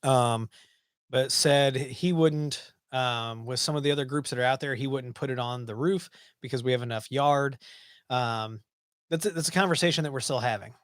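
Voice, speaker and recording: A man's voice: mid-range voice, normal speed, no echo, studio quality. Rambling man on a home-office livestream. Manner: relaxed, rambling, many ums and restarts. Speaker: livestream host. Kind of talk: casual live-stream chat reading viewer comments. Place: small home office, indoors.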